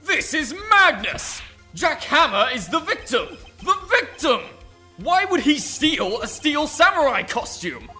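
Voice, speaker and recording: A man playing an excitable, indignant prosecutor in a courtroom game fan dub, speaking forcefully and theatrically. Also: very high-pitched, unhurried, faint music behind, exaggerated voice. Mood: outraged. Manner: forceful, outraged.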